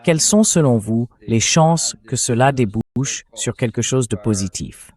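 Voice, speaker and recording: A man's voice: mid-range voice, expressive, unhurried, a little mellow. A man hosting a political interview show, speaking as a translated synthetic voice-over.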